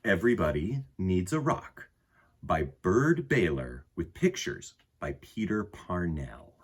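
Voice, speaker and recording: Animated low, husky man reading to kids. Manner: animated, dramatic pauses and emphasis. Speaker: children's storytime host and reader. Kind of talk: expressive picture book read-aloud for children.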